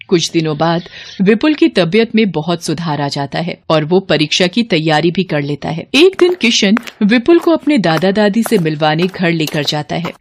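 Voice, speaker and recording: A narrator reading a scripted moral story in a measured storytelling style. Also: medium pitch.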